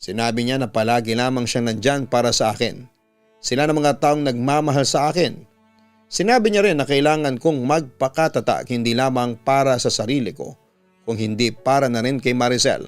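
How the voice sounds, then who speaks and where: A man's voice: medium pitch, normal speed, clear, resonant tone. A man with a Filipino accent reading listener letters as a scripted story narration and giving advice as a radio host. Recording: dull audio with music behind. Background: music bed.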